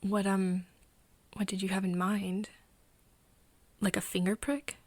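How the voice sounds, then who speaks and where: Casting: actress for tender, teasing young woman friend, ASMR roleplay; breathy, whispery, slow and halting delivery. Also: mid-range voice, level tone, no room sound, natural voice. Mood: tentative, curious. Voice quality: soft, breathy, often dropping into whispers. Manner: hesitant, halting, gently coaxing. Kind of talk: ASMR roleplay, intimate second-person acting.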